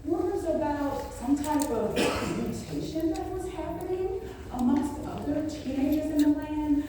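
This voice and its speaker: A middle-aged woman giving a humorous storytelling speech on stage, theatrical, with dramatic pauses and emphasis. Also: sits high, warm tone. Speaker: speech contest speaker. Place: large indoor hall, before an audience. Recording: very echoey hall, distant mic, faint noise.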